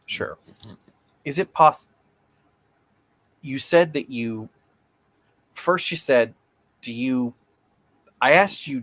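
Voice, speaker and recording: A man's voice: medium pitch, fairly bright tone. A man playing a persistent, formal lawyer questioning an evasive witness in a read-aloud deposition.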